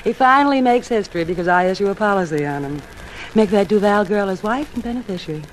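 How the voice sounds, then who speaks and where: A woman's voice: mid-range voice, expressive, quick pace, close to an everyday voice. An actress playing a bored, scheming female swindler with a languid manner in a 1950s radio drama. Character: cool, bored, scheming femme fatale swindler. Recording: noisy old 1950s radio broadcast.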